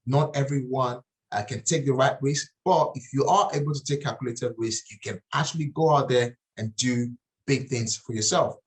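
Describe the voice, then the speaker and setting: A man's voice: medium pitch, moderate pace. A middle-aged man from Nigeria with a Nigerian accent, presenting a property investing webinar in an earnest way and often repeating and restarting phrases. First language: Nigerian language.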